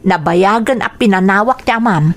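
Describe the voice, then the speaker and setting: A woman's voice: mid-range voice, normal speed, natural voice. An actress with an Ilocano accent playing a weary, long-suffering mother in a radio drama, plaintive and confiding. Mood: weary, resigned.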